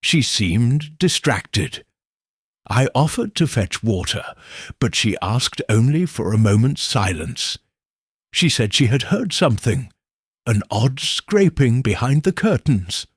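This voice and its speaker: Guy reading as a nervous teary young gentlewoman, shaky and hesitant, bit husky, unhurried, audiobook whodunit. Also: mid-range voice, very animated, no room sound, clean studio sound, exaggerated voice. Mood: tremulous, uneasy. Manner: tremulous, hesitant. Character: timid, anxious, tearful young gentlewoman witness.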